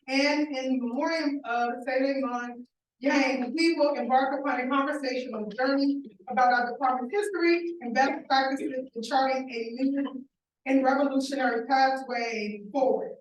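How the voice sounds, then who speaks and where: Woman moderator, formal measured reading, high voice, booming hall echo. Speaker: panel moderator. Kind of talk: reading a prepared opening address. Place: large hall. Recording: echoey hall from a distance with faint noise.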